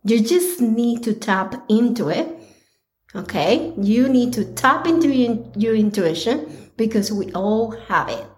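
A woman hosting her own podcast, talking earnestly with a slightly raspy, hoarse voice, pausing and restarting often. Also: on the high side, lively intonation, a little mellow. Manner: slow, earnest, with pauses and restarts. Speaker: podcast host. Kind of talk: casual solo podcast talk.

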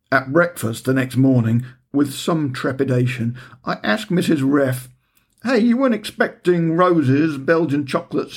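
Husky, wry middle-aged man reading. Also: mid-range voice, darkish tone. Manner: dry, wry storytelling with understated humour. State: recovering from a heavy cold. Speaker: author reading his own book. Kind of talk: audiobook narration.

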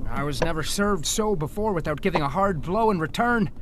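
A wry, superstitious whaling mate, acted by a man in an audio drama. He muses in salty sea talk in a high voice, with clear ambient noise behind him. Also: expressive, a little fast, lightly acted. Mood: bewildered. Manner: salty, musing seafaring speech. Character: wry, puzzled, superstitious sailor, ship's second mate. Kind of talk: audio play acting.

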